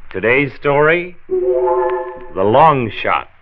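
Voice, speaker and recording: A man's voice: mid-range voice, very animated, extremely slow, faint music behind. An American man playing a genial, folksy county health commissioner in 1940s radio drama style. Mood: measured, earnest. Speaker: narrator and lead actor of a health radio serial. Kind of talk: 1940s radio acting and narration. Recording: dull vintage 1940s radio transcription.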